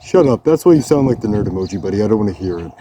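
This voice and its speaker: A young man playing a silly, self-styled traumatized veteran in an amateur comedy skit, jokey and switching between loud lines and mutters. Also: fairly low pitch, expressive, racing, noisy background, lightly acted. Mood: goofy. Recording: loud music behind voice.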